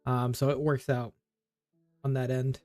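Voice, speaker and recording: A man's voice: mid-range voice, level tone, a little slow, darkish tone, dry recording, studio quality, soft background music. A man chatting casually on a game livestream, rambling and thinking aloud as he plays. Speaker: game streamer. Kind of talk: casual livestream chat while gaming.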